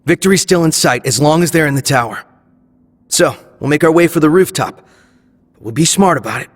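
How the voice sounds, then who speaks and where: Earnest, higher-voiced young police leader. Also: male voice, expressive, dry recording. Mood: determined. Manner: formal, measured, firm. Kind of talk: anime-style video game voice acting.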